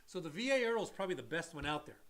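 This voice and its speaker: A man with a high voice co-hosts a casual mortgage talk show. He explains loan types to viewers in an emphatic way, repeats his key points, and throws in blunt asides. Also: very animated, rapid-fire, fairly bright tone. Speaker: mortgage loan officer co-host. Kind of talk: casual explanatory chat, answering viewer questions.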